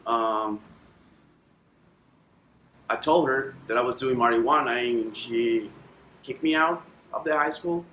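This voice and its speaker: Costa Rican man, 20s, halting testimony, unhurried, roomy sound with soft music under it. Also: medium pitch, a little hiss or hum. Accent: Costa Rican Spanish. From Costa Rica. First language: Spanish. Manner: halting, many fillers, slips into Spanish. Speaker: testimony speaker. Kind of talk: personal testimony.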